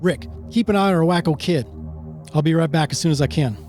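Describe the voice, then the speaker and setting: A gruff, short-fused sheriff and father in an amateur radio play, acted by a man. His voice is pitched a little high for a man, stern and salty, with music playing behind it. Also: quick pace, darkish tone, close to an everyday voice. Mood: stern, grave. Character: gruff, foul-mouthed, stern small-town sheriff and father.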